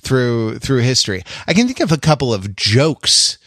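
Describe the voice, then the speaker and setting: A man's voice: mid-range voice, very animated, normal speed, fairly bright tone, no room sound, clean studio sound. A middle-aged American man chatting analytically on a podcast, digressing and often searching for his words. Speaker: podcast panelist. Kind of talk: casual analytical chat.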